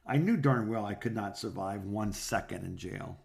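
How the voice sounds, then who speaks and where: A man in his sixties from South Florida with an American accent, co-hosting a podcast and rambling through personal anecdotes in a halting, circling way. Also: lowish voice, vocal fry. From South Florida, United States. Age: 60s. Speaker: podcast co-host. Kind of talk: casual phone chat, rambling personal anecdotes.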